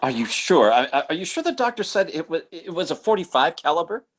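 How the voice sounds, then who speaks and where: A man playing an earnest stage psychic in an old-time radio drama, speaking haltingly. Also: fairly high pitch, big pitch swings. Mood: probing. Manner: halting, stammering. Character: earnest male stage psychic turned urgent helper.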